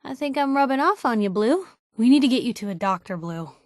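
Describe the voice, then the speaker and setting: A woman playing a sassy, warm-hearted young reporter and loyal companion in a video game, talking in wry, breezy, clipped slang. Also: fairly high pitch, expressive, fairly quick, dry recording, studio quality, natural voice. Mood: concerned. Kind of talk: game voice lines, short reactive barks.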